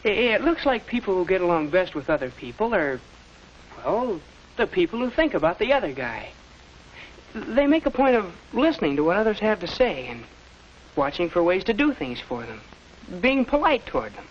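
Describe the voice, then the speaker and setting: A young male actor playing a shy, earnest high school boy with an American accent, halting in a 1940s educational film. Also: very animated, slight background noise. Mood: earnest, thoughtful. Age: teenager. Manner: hesitant, halting. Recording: dull lo-fi 1940s film soundtrack.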